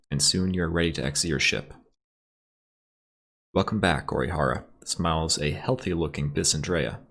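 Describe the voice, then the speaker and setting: A man hosting a let's play, chatting casually and reading the game's story text aloud, his voice a little raspy from congestion. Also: sits low. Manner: relaxed, thinking aloud while shopping for upgrades.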